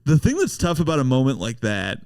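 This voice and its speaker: A man's voice: medium pitch, wildly swooping pitch, a little fast, darkish tone, smooth, dry recording, clean studio sound. A man, a stand-up comedian, telling stories in a laid-back, swearing podcast chat with frequent laughs.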